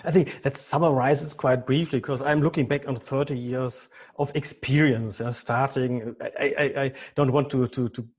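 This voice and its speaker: A middle-aged man with a German accent, a business consultant answering podcast interview questions in halting English with many repeated words. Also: mid-range voice, steady tone, a little mellow, husky. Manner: halting, frequent repeated words, non-native phrasing.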